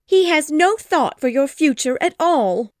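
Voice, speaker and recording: A woman voicing a fretful, easily upset middle-aged mother who talks in shrill, excitable exclamations. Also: unhurried, no echo, clean studio sound. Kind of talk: audiobook character voice.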